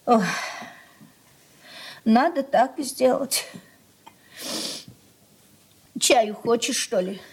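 An actress in a Soviet radio drama playing a worried, soft-hearted mother of a merchant household, sighing and fretful. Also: fairly high pitch, slow pace, husky, full character voice. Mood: coaxing.

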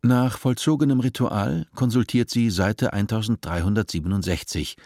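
A man reading scripted narration in a calm, measured way. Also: fairly low pitch, no room sound, clean studio sound.

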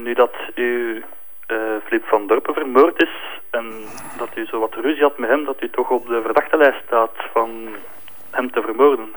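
Man playing a plodding detective, Flemish accent, mid-pitched voice, halting polite questions, crime drama. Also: steady tone, fairly bright tone, a little hiss or hum, close to an everyday voice, poor audio. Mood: accusing, halting. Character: plodding, polite police investigator questioning a suspect.

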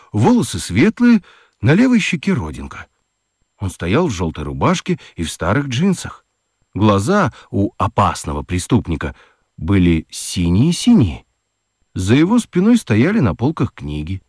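Мужчина-рассказчик детского радиоспектакля читает выразительно, с драматическими паузами. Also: male voice, fairly low pitch, a little mellow, breathy edge, no echo, clean studio sound. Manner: deliberate, dramatic pauses. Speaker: narrator. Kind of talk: expressive scripted storytelling for children's radio play.

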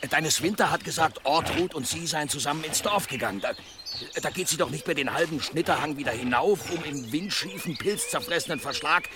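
A man's voice: a little high, a little fast, close to an everyday voice. A man playing a worried, blunt and impatient village blacksmith in a radio drama, with an agitated way of talking. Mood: skeptical. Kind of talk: German radio drama acting.

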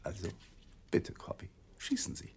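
An actor playing an indignant, defiant young lawyer in a radio drama, speaking with insistence. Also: male voice, moderate pace, whispered, lightly acted. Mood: tense, resigned. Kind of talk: 1980s German radio drama acting.

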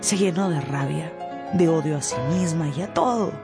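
A woman giving expressive, scripted storytelling narration for a radio drama. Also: sits low, normal speed, creaky, over music.

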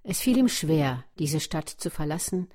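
A woman's voice: low voice, big pitch swings, a little slow, warm tone. A woman reading scripted narration for a radio documentary.

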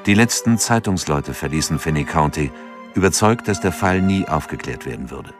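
Low-voiced male narrator for radio drama, grave, scored with music. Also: animated, normal speed. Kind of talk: scripted narration.

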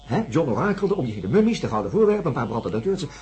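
A man playing an animated, probing uncle who questions emphatically in a Dutch radio drama. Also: a little high, very fast, faint music behind.

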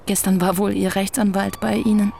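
A woman's voice: mid-range voice, level tone, gravelly, a little mellow, a little hiss or hum, lightly acted. Actress as a young woman writer, polite and persistent, radio play. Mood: measured. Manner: polite, measured questioning. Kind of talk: radio drama acting.

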